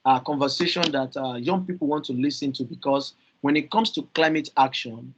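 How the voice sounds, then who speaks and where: A Nigerian man speaking earnestly on a video call panel, halting with fillers and repeated words, over dull lo-fi audio. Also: medium pitch, fairly even intonation. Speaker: youth climate panelist.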